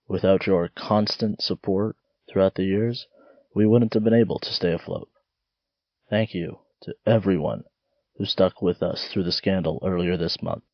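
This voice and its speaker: Sincere drafted radio announcer, very low male voice, dull close broadcast. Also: moderate pace, no echo. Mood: sincere, grateful. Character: proud, sincere small-station radio announcer, drafted patriot. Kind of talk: audio drama radio sign-off.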